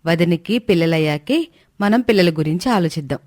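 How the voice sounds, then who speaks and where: A woman's voice: lowish voice, animated, brisk, darkish tone, no echo, lightly acted. A woman playing a devoted, affectionate yet firm young wife in a story, speaking expressively. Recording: dry studio voice track.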